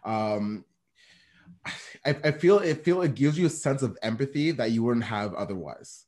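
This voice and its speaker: A man's voice: mid-range voice, lively intonation, normal speed, a little mellow. A man hosting an interview, encouraging and effusive, often repeating words as he responds. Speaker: interview host. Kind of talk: casual interview talk.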